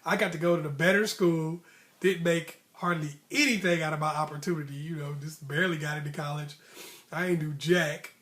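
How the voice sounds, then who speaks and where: A man's voice: fairly high pitch, steady tone, fairly quick, a bit bright. A man telling a personal story in a casual, motivational way, with slangy, emphatic phrasing and repetition for emphasis. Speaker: vlogger, storyteller.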